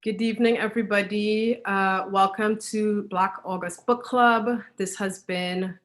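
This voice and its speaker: A woman's voice: medium pitch, steady tone, a little slow. A woman from Trinidad and Tobago hosting and moderating an online panel discussion. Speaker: host and moderator.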